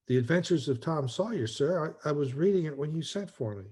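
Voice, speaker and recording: Radio play: man as ancient apprentice angel, deferential, simple, eager, somewhat high and husky. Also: animated, a little fast, darkish tone. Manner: hesitant, deferential. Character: humble, eager, simple-minded two-hundred-year-old male angel. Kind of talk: old-time radio play acting.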